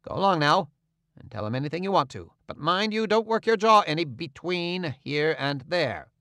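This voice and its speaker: A man's voice: on the high side, sing-song, normal speed, sharp tone, no room sound, studio quality, heavily acted. A man voicing a scheming middle-aged male swindler who grumbles, threatens and gives orders. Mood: commanding.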